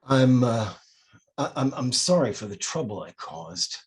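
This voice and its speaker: Casting: male actor as despairing priest, forties, hesitant and stammering, rough-edged husky voice, mid pitch. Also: big pitch swings, unhurried, exaggerated voice. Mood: awkward, apologetic. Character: despairing forty-something priest, hesitant, quietly guilt-ridden. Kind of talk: screenplay table read.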